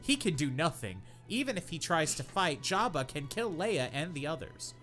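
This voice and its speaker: A man's voice: fairly high pitch, very animated, normal speed, music in the background. A young man hosting a comedy podcast, reading a story aloud in a mock-dramatic way and cracking up. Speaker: podcast host, reader.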